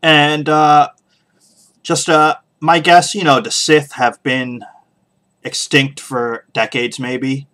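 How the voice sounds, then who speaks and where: A man hosting a podcast, giving casual solo opinions in a halting way, pausing often as he thinks aloud. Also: on the high side, little pitch movement, a bit bright. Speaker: podcast host.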